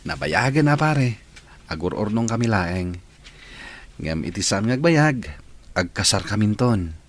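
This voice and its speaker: A man's voice: lowish voice, lively intonation, unhurried. A man playing a devoted, easygoing young boyfriend in an Ilocano radio drama. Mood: teasing, hopeful.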